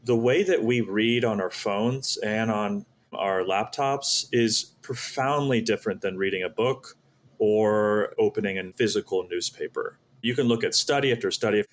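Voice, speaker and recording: A man's voice: fairly low pitch, fairly bright tone. A man speaking as an interview guest, explaining his ideas earnestly and often posing questions that he answers himself. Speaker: podcast guest, startup founder.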